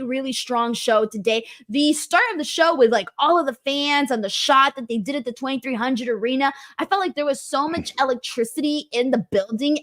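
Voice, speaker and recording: A woman's voice: a little high, a bit bright. A woman hosting a casual wrestling review show, recapping matches with enthusiasm. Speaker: host.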